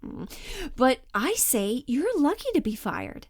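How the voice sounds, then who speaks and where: A woman's voice: sits high, wildly swooping pitch, slow pace, dry recording, studio quality, pitched above the performer's own voice, full character voice. A woman playing a pushy, falsely upbeat Hollywood talent agent in a comedic monologue, coaxing, flippant and over-reassuring. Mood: brisk, coaxing. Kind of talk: dramatic audition monologue, comedic.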